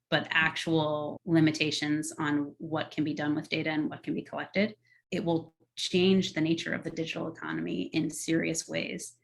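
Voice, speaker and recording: A middle-aged woman answering podcast interview questions in an articulate, earnest, enthusiastic way. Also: sits low. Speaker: guest, tech policy executive.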